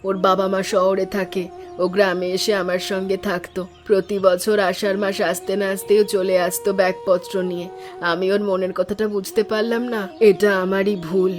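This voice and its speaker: Woman as a gentle, wise village aunt. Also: mid-range voice, level tone, normal speed, close to an everyday voice. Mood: regretful. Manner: tender, reflective. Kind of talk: cartoon story dialogue. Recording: music under the voice.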